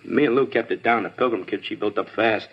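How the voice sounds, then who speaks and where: A man's voice: on the low side, a little fast, husky. An actor playing a sullen young male addict suspect in a 1950s radio crime drama, answering evasively. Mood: confessing. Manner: defensive, evasive. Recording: dull lo-fi 1950s radio recording.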